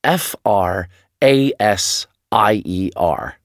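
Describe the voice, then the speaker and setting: A man's voice: on the low side, expressive, drawn-out words, dry recording, studio quality. A man from the United States playing Bill, answering a textbook interview in short, clipped replies. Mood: plain. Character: adult American man, Bill.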